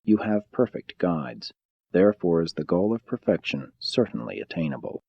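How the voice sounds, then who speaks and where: A man reading a spiritual audiobook in a measured, solemn and formal manner. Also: low voice, animated, gravelly, husky, no echo, dull audio. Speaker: audiobook narrator. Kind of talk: scripted audiobook narration of a spiritual text.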